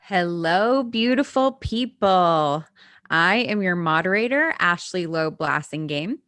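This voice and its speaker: A woman hosts an online panel discussion over a video call. Her voice sits fairly low and sounds dry and close. She reads her welcome in an upbeat, gushing way. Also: animated, slow, fairly bright tone, no echo. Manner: upbeat and scripted, with gushing greetings. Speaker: moderator.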